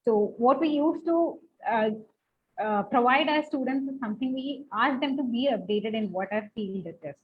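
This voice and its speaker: A woman's voice: on the high side, normal speed. Panelist, female college teacher, explanatory and conversational, dull recording with faint noise.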